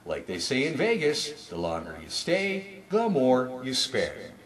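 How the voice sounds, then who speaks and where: A man's voice: medium pitch, huge pitch swings, a little slow, heavy background noise. A man giving an instructional talk in an emphatic, salesman-like manner, as a trainer in store announcements.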